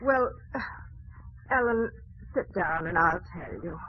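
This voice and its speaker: An actress playing a gentle, motherly older woman in a 1940s radio drama on a dull old broadcast recording. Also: on the low side, expressive, slow, faint music behind. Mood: soothing. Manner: soothing, gentle.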